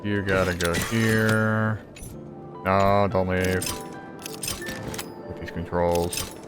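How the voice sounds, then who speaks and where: A man's voice: deep voice, flat delivery, very slow, music in the background. A man giving casual gameplay commentary, muttering to himself and swearing as he plays. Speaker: gameplay streamer.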